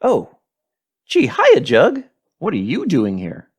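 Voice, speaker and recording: A man plays an oblivious, gee-whiz teenage boy from a 1940s-style radio sitcom. The voice is husky and drawn out, leaping all over in pitch with eager energy. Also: wildly swooping pitch, slow, full character voice. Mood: surprised.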